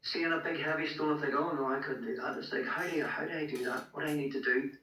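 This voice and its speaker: A man speaks as a guest, earnest and encouraging, doubling back on his words. His voice is bright and sits a little high. He sounds distant from the mic in an echoing room. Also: fairly even intonation, fast. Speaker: guest, strongman coach. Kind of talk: casual interview chat.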